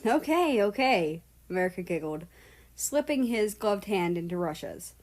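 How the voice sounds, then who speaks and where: A woman's voice: fairly low pitch, animated, normal speed, a little mellow. Woman reading a story aloud. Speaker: fan fiction narrator. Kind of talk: scripted storybook narration with character dialogue.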